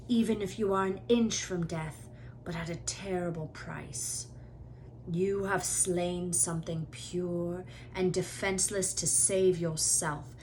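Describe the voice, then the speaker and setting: A woman voicing a grave, wise male centaur in a storybook, speaking in a solemn, deliberate way. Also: fairly low pitch, fairly bright tone, slight background noise, low-quality recording.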